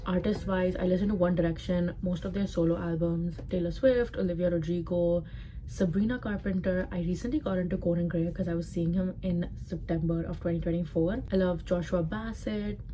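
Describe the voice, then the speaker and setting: A young woman in her early twenties with an Indian accent, chatting easily to her viewers. Her voice is very mellow and dark, sitting a little low. She talks casually and loosely, with plenty of "like" along the way. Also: steady tone, a little fast, a little hiss or hum, rough recording. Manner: chatty, full of "like" fillers. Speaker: vlogger. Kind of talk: casual chat to camera.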